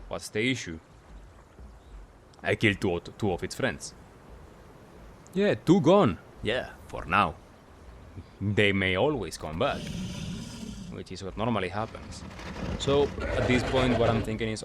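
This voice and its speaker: A man giving casual, explanatory gameplay commentary, halting and correcting himself as he reasons through his points. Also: lowish voice, a little hiss or hum. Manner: halting, self-correcting, asks and answers own questions. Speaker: gamer, video commentator.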